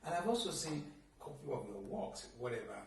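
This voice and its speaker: A man's voice: medium pitch, very animated, fairly quick, gravelly. A man from Nigeria hosting a TV interview, asking rambling, hesitant questions full of restarts. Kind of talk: conversational interview. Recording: echoey room, mic at a distance, faint noise.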